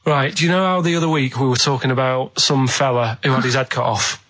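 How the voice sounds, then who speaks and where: A man, a radio show regular, telling anecdotes casually in an earnest, halting, rambling and vague way. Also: medium pitch, fairly even intonation, a bit bright.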